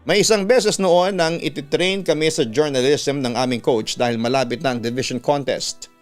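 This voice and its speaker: Clear, smooth Filipino male storytelling announcer. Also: on the high side, moderate pace. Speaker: radio announcer and story show host. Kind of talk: scripted narration, reading a listener's letter. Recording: music bed under the voice. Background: background music.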